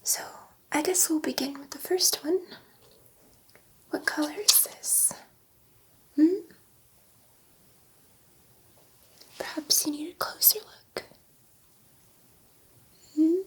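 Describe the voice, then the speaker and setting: Woman as a slow, whispering female alien scientist. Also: high voice, fairly even intonation. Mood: soothing, encouraging. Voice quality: soft, breathy whisper. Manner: slow, soothing, reassuring, repeats phrases. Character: gentle, curious female alien scientist testing a human. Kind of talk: ASMR roleplay.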